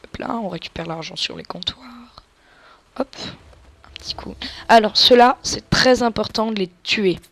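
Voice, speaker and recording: Woman with a cold, let's play commentary, casual, crude, joking, medium pitch. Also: moderate pace. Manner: crude, joking, explains tactics to viewers. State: has a cold.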